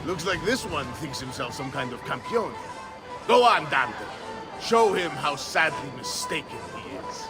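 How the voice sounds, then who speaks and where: A man's voice: on the high side, very animated, unhurried. An actor playing a smug, gloating nobleman in a video game, speaking in a sneering, theatrical way. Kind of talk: video game cutscene acting. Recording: crowd and music behind. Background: crowd and music.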